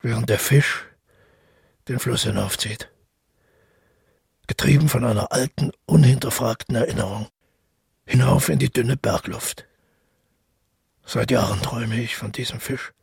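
An actor playing a brooding, isolated man haunted by dreams and guilt, in a measured, introspective radio drama monologue with a gravelly, husky voice. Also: medium pitch, no echo, clean studio sound, heavily acted. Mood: brooding, reflective.

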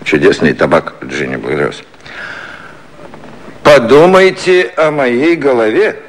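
Casting: a sly, courteous prime minister for radio satire; slow, theatrical, wide-swinging delivery. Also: male voice, medium pitch, big pitch swings. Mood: wry evasion. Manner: leisurely, ironic, theatrical phrasing. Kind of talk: satirical 1950s Soviet radio play acting.

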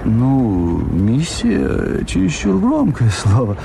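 An actor playing a genial, idealistic elderly gentleman, polite, earnest and gently hesitant, in a Soviet radio drama. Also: huge pitch swings, slow, vocal fry, heavily acted. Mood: earnest, modest. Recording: noisy old radio play, rumbling background.

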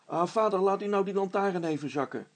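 A man voicing an eager, level-headed boy, the son of a handyman, in a storybook, in a matter-of-fact, high-pitched voice. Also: fairly quick. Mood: pleading.

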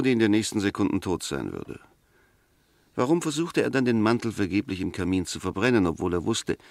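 A defence lawyer in a sixties radio drama, with a fairly low voice in a dry studio sound. He is articulate and formal, building each point methodically with rhetorical emphasis. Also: no room sound. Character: measured, incisive male defence lawyer arguing a murder case. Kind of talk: 1960s German radio play acting.

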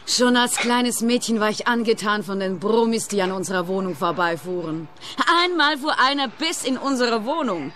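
A woman's voice: fairly high pitch, animated, normal speed, fairly bright tone, a little hiss or hum. An actress playing an eccentric young female trucker in a radio play, dreamy and oddly matter-of-fact. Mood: wistful, cheerfully morbid. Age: young adult.

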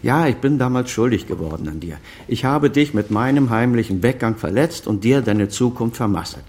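A man's voice: medium pitch, animated, normal speed, a little mellow, slight background noise, natural voice. A male actor plays an estranged father and senior doctor past fifty in a radio play. He speaks with formal, articulate phrasing. He is proud and always defending himself. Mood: defensive, earnest. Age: middle-aged or older, over fifty. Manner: formal, articulate, self-justifying. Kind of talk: German radio drama dialogue.

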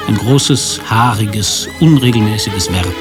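An actor narrating a comic radio play as a detached storyteller, measured and matter-of-fact. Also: male voice, on the low side, lively intonation. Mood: detached narration. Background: music.

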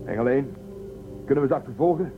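An actor playing a decisive starship commander in a radio drama, businesslike and commanding. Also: male voice, mid-range voice, animated, fast, music in the background, natural voice. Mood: brisk, decisive. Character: decisive, brisk male starship commander giving orders. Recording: tinny phone-like sound.

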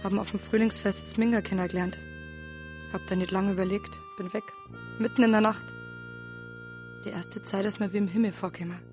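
An actress with a Bavarian accent playing a troubled wife confessing a hard youth in a naturalistic radio drama, plain-spoken and colloquial. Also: female voice, mid-range voice, fairly even intonation, brisk, long pauses, over music, lightly acted. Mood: bitter recollection.

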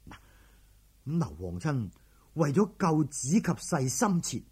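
Casting: male Cantonese storyteller, very raspy mid-pitched voice, lively emphatic swings, colloquial narration. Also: measured pace. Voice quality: very husky, raspy.

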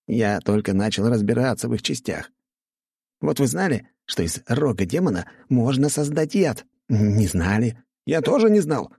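A man playing an excitable, obsessive artificer in an audiobook, with a strained, raspy and agitated delivery. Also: mid-range voice, no echo, clean studio sound, pitched above the performer's own voice, full character voice. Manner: agitated, emphatic. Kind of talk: audiobook character acting.

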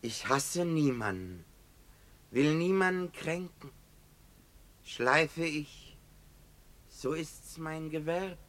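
Very slow, meek knife grinder. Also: male voice, on the high side, animated, a bit bright, full character voice. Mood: defensive. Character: meek, plodding knife grinder defending his trade. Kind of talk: poetic German radio drama acting.

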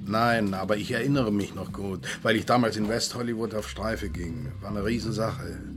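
An actor playing a gruff older police sergeant in a radio drama, dry and laconic, with music behind. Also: male voice, lowish voice, moderate pace, lightly acted. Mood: matter-of-fact. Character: gruff veteran police sergeant with encyclopedic memory.

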